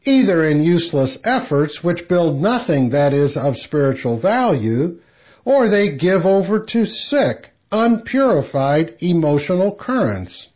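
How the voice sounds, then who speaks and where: Dull lo-fi recording, man, lively intonation, deliberate phrasing, spiritual lecture reading. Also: fairly high pitch. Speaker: lecture reader. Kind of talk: read-aloud spiritual lecture. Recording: dull, muffled lo-fi audio.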